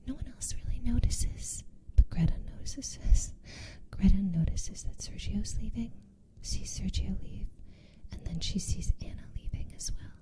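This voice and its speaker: Whispering woman recapping a story. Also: mid-range voice. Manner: casual, lots of "like".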